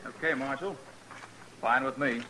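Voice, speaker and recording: An actor playing an amused, sneering army officer's sidekick in old time radio western style. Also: male voice, medium pitch, unhurried, lightly acted. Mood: jeering, amused. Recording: noisy old radio drama recording.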